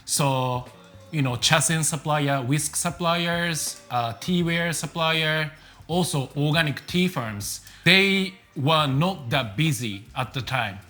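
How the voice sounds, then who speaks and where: A man's voice: on the high side, slow, soft background music. A man with a Japanese accent, a tea business owner casually introducing himself with lots of fillers. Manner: relaxed, many fillers.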